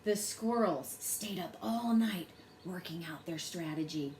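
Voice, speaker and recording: A woman reads a bedtime story aloud to children with expressive, dramatic phrasing. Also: on the low side, measured pace. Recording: roomy echo, faint background noise.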